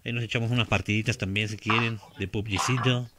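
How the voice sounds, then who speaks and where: A man's voice: on the low side, fairly even intonation, quick pace, very noisy. A man in his thirties streaming a game from a small home room on a headset, chatting casually, repeating himself and laughing often. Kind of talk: casual gaming stream chat.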